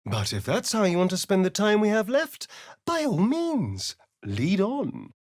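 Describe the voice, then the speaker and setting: An actor playing a sardonic, vain, scheming vampire spawn in a video game, with an arch, drawling, theatrical delivery. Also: male voice, high-pitched, wildly swooping pitch, unhurried, darkish tone, no echo, studio quality, full character voice. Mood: sarcastic, playful. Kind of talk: video game character voice lines.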